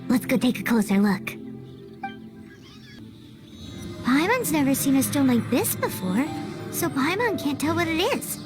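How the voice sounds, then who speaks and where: Tiny squeaky fairy sidekick from a video game, super high n chirpy, loud music behind. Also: female voice, big pitch swings, normal speed. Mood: curious, wary. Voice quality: squeaky, childlike. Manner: speaks of herself in third person, childishly indignant. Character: small floating fairy mascot companion, childlike, chirpy, outspoken. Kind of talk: video game cartoon voice acting. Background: game soundtrack music.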